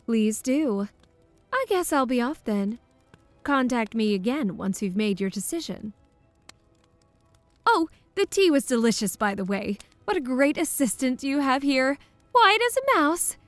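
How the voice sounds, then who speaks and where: A woman playing a brisk, teasing lady scientist, poised and matter-of-fact, in a scripted audio roleplay. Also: sits high, sing-song, dry recording, faint music behind. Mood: breezy, polite.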